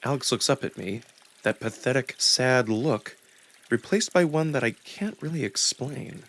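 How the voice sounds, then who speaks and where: A man reading a visual novel aloud as narrator, in an expressive, deliberate first-person storytelling style. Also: mid-range voice, vocal fry.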